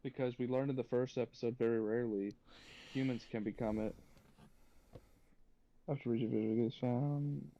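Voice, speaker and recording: A man co-hosting a reaction podcast, chatting casually and thinking out loud in a tentative, musing way. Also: medium pitch, fairly even intonation, normal speed, long pauses, muffled recording.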